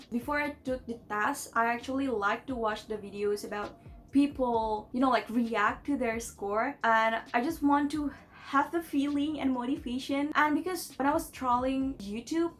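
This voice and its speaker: Young woman, Indonesian accent, casual vlog chat, higher voice, giggly and rambling, faint music behind. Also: normal speed. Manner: chatty, giggly, many fillers like "like" and "you know". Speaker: vlogger.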